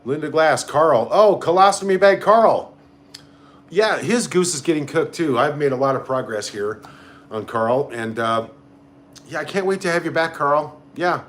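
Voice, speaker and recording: A man's voice: a little high, expressive, unhurried, a little hiss or hum. A man hosting a casual live stream, reading out comments and reacting in a blunt, sarcastic, sweary way. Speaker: livestream host.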